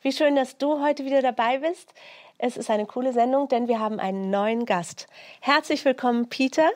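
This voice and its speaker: A woman's voice: high voice, normal speed, no echo. A woman hosting a show, welcoming a guest with a prepared introduction and questions. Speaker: host. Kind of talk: scripted show welcome and interview.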